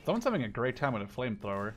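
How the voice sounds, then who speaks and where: A man's voice: medium pitch, big pitch swings, racing, a little hiss or hum. A man in his twenties giving casual, reactive commentary while playing an online shooter with a friend. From Netherlands. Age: 20s. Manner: upbeat intro, then chatty and reactive while playing. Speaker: gaming YouTuber.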